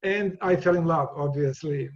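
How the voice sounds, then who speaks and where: A man with a Serbian accent, answering interview questions in a loose, halting way, circling back and repeating words as he goes. His voice sits a bit high for a man and comes through dull and lo-fi. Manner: halting, many repeated words and restarts, laughs easily. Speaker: guest. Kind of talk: casual interview conversation.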